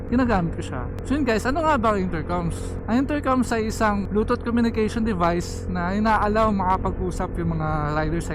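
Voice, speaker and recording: A man's voice: sits high, low-quality recording. A Filipino man chatting casually and informally to viewers as a motorcycle vlogger while riding. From Philippines. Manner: informal, conversational, addressing viewers as buddies. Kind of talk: casual motovlog chat.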